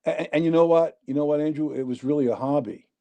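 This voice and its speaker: Very dark-voiced New York man. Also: a little high, fast talker. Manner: rambling, animated storyteller. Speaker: interview guest. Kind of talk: casual storytelling.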